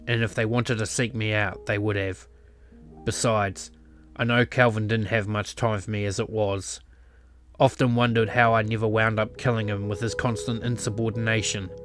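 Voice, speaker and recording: Man acting a low-voiced brooding ex-clan leader. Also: a bit bright, close to an everyday voice. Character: brooding, regretful male former clan leader with a violent past. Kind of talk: visual novel voice acting.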